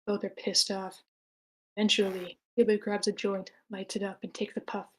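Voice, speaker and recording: A woman's voice: medium pitch, little pitch movement, moderate pace, a little hiss or hum. A woman reading stage directions in a matter-of-fact way at a screenplay table read.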